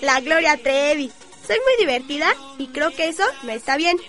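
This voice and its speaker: Woman doing mouthy mexican teen girl for radio soap, high sharp voice, slangy and mocking. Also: normal speed, faint music behind. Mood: playful. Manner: slangy, teasing. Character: brash, mocking fifteen-year-old rebellious schoolgirl. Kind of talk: radio drama acting.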